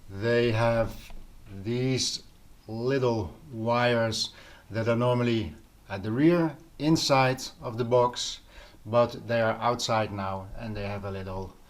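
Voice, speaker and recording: A man hosting a casual audio gear vlog, explaining things informally and pausing mid-sentence as he goes. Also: medium pitch. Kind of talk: casual informal product talk to camera.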